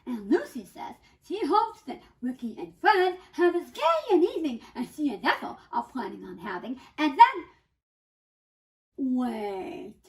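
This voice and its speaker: A woman performing a theatrical comic monologue in the first person, dramatic and deliberate, with emphatic pauses. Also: sits high, sing-song.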